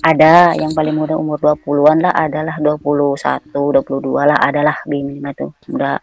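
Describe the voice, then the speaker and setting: A woman from West Java, chatting as a guest fan in an earnest, unhurried way. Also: low-pitched, monotone, vocal fry, a little mellow. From Indonesia (Subang, West Java). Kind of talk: casual chat.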